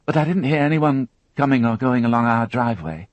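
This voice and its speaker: A man voicing a frightened young woman who witnessed a crime, tearful and halting, repeating her words as she talks. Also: mid-range voice, expressive, no room sound, pitched above the performer's own voice, lightly acted. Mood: shaken.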